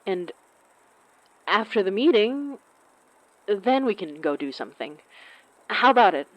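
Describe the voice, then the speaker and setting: A woman's voice: medium pitch, big pitch swings, unhurried, no echo, rough recording. A woman playing an awkward, apologetic young woman in a naturalistic audio drama, speaking hesitantly with stammers. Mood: warm, reassuring. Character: awkward, apologetic young woman, torn about a close friend.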